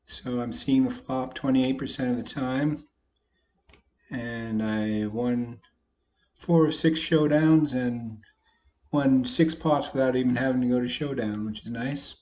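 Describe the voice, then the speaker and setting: A slightly hoarse man, a poker vlogger, casually talking through his online poker hands with a folksy, self-deprecating manner. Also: medium pitch, measured pace, a little mellow. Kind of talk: casual commentary over online poker play.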